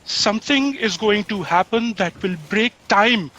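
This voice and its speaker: A man's voice: high voice, a little slow, sharp tone. A man acting as a nervous, deferential, pedantic assistant in a sci-fi satire audio drama, hesitant and prim in delivery.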